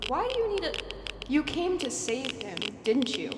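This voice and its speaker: An indignant female guard acted by a woman with a high voice that swings widely in pitch. She is excitable and confrontational, in radio drama style, ringing through a noisy, echoing hall. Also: normal speed. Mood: suspicious, commanding. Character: bold, indignant female guard, henchwoman to villains. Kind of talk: superhero radio drama acting. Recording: echoey hall, loud background noise.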